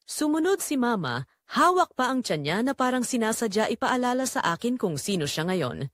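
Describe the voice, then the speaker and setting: A woman's voice: a little high, sing-song, normal speed, fairly bright tone, dry recording. A woman with a Filipino accent narrating a scripted first-person drama in an emotional, theatrical way.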